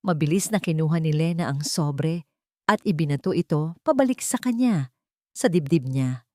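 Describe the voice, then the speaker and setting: Casting: female storyteller for dramatic romance narration, notably low voice, close dry recording. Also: expressive, normal speed, mellow, no echo.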